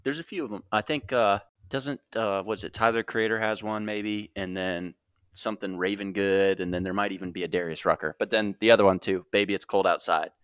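Laid-back guy cohost, lowish voice, kinda hesitant, dry close mic. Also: fairly even intonation, brisk, a bit bright, clean tone, no echo. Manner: easygoing, hesitant with fillers. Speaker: podcast co-host. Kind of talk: casual chat.

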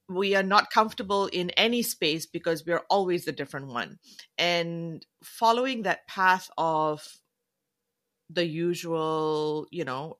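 A middle-aged woman from Malaysia talks about her career as an interview guest. Her voice sits a little low but sounds bright and forceful. She speaks warmly and expressively and often breaks into laughter. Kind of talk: casual interview conversation.

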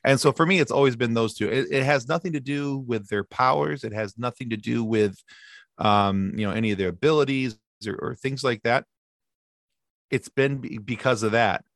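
A man in his thirties chatting casually as a podcast guest, sarcastic and animated, often restarting his sentences. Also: medium pitch, normal speed, no room sound, clean studio sound. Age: 30s. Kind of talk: casual chat.

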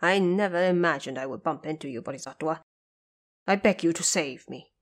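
A woman's voice: sits low, a little fast, pitched below the performer's own voice. A woman voicing a male pig monster, a reckless brute who turns humble and confessional, in an audio drama story.

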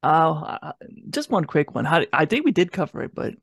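Higher-voiced man with creaky, dry sound. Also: sing-song, brisk, a bit bright, dry recording. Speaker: podcast co-reviewer. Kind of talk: casual review chat.

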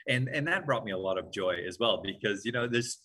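A low-pitched man with a slightly husky voice, from Hawaii, answers interview questions calmly. He speaks in a measured, reflective way. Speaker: interview guest.

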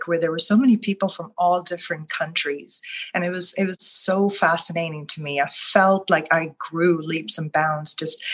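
A middle-aged woman from Sweden, a life coach whose first language is Swedish, talking thoughtfully about her path in an interview with many restarts. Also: on the low side. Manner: soft-spoken, thoughtful, with frequent restarts. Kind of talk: reflective interview answer.

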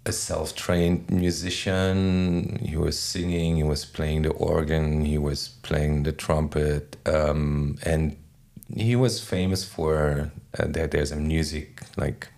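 A man in his forties with a German accent, giving halting, reflective answers as an interview guest, often searching for words. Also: very deep voice, fairly even intonation, vocal fry, a little mellow. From Berlin, Germany. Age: 40s. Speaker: podcast guest, DJ.

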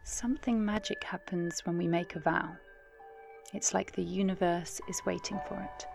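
An actress playing an earnest, hopeful present-day activist mother, giving a measured, idealistic, poetic monologue in an audio drama. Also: lowish voice, steady tone, gravelly, darkish tone. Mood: quiet, hopeful. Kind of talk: reflective first-person monologue in audio drama. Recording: music underneath.

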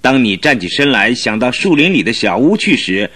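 A man in a Chinese radio drama playing a sceptical prosecutor with a husky voice and pointed, methodical questioning. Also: medium pitch, very animated, moderate pace, pushed and bright, full character voice. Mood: methodical, pressing. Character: male prosecutor, probing, sceptical interrogator.

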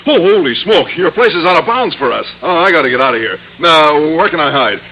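A man's voice: on the high side, sing-song, fast, pushed and bright, husky, exaggerated voice. An actor playing a bashful, naive male schoolteacher, hesitant and flustered, in an old radio comedy. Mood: flustered, alarmed. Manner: hesitant, stammering, boyish. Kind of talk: 1950s radio comedy acting. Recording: muffled, noisy old radio broadcast.

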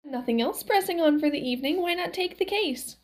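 A woman's voice: very high voice, lively intonation, a little fast, voice pushed up. A woman voicing a shrewd, pushy old wife who nags and urges in an audiobook story. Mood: eager. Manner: urging, nagging.

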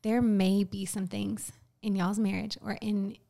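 Female guest, 20s, faith-driven, gentle fry. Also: mid-range voice, level tone, measured pace. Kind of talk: casual faith talk on a podcast.

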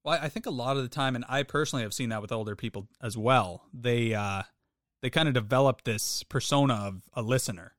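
A man's voice: mid-range voice, quick pace, a bit bright, no room sound, studio quality. A Canadian man co-hosting a casual podcast, agreeable and reflective, often backing his partner up. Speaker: podcast co-host.

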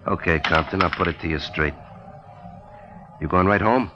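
Deep-voiced, slick gangster henchman played by a man. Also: moderate pace, natural voice. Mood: menacing. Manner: wry tough-guy slang. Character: slick, mocking gangster henchman, falsely polite. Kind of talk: 1950s radio crime drama acting. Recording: muffled, noisy 1950s radio recording.

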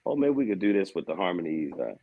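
A man in his thirties talks through his music life in a relaxed way, often saying "man" and laughing. His voice sits very low. He sounds like a guest on a casual podcast. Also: quick pace, phone-quality sound. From Aberdeen, Maryland. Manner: easygoing, laughs often, says "man" a lot. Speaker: guest, vocal coach and assistant pastor.

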